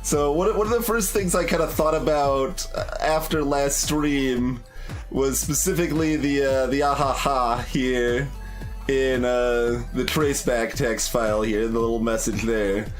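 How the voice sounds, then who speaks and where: A man's voice: medium pitch, fairly even intonation, unhurried, faint music behind. A man streaming a game playthrough, talking casually to viewers in a hesitant, rambling way as he thinks aloud.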